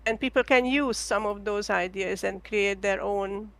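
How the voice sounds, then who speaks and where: A woman's voice: medium pitch, measured pace, gravelly, fairly bright tone. A woman from Hungary talking as a guest in a casual chat, hesitant, with many ums and restarts. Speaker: guest artist.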